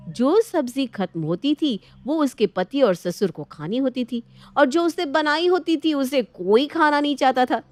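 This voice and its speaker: High-voiced woman narrating a story. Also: a little mellow. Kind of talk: scripted bedtime story narration.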